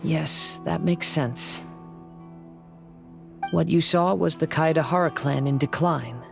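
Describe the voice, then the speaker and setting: Soft-spoken, creaky-voiced young samurai wanderer. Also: male voice, fairly high pitch, faint music behind. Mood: calm, reflective. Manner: measured, poetic, soft-spoken. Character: gentle, reflective young wandering samurai, heir of a fallen clan. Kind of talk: scripted game voice acting.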